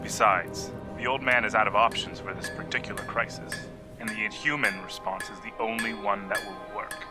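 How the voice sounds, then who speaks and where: Man acting an urbane, sardonic immortal gentleman. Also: fairly low pitch, fairly even intonation, normal speed, few pauses, over loud music, close to an everyday voice. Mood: cold, resolved. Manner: dry, wordy, needling. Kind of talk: scripted audio drama acting.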